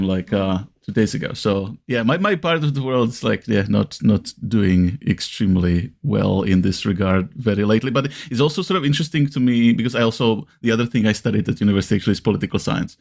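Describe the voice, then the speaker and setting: Low, creaky-voiced Czech man, halting talker. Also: darkish tone. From Czech Republic. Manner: halting, rambling, frequent self-restarts. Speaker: podcast co-host. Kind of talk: casual podcast discussion.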